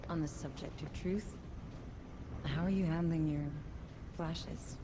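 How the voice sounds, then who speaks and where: Commanding, pragmatic colony administrator, lower female register, game cutscene, noisy backdrop. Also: steady tone, slow pace. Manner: firm, direct, commanding. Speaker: actor voicing a game character. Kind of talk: cinematic video game acting.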